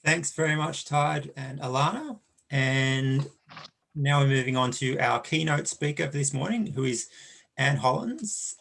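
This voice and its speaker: A man's voice: mid-range voice, a little slow, creaky, fairly bright tone, slight background noise. A man hosting an event and formally introducing the next speaker. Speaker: event host.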